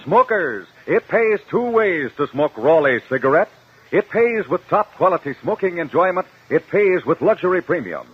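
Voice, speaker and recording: Old time radio announcer guy selling stuff, husky, super lively, muffled recording. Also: moderate pace, soft background music. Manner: emphatic sales pitch. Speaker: commercial announcer. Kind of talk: 1950 radio advertisement.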